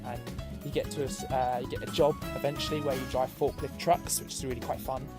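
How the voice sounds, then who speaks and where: A young man with a mid-pitched voice gushes enthusiastically, stumbling over "uh" and "um." He films himself at arm's length outdoors. Loud music runs under his voice. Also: flat delivery, quick pace. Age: young adult. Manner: enthusiastic, gushing with fillers. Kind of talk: casual chat. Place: outdoors under an overcast sky. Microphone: phone or camera held at arm's length.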